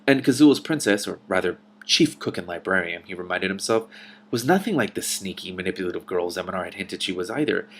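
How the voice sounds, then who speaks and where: A man hosting a book read-aloud, reading expressively and breaking off for casual, exclamatory asides. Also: on the low side.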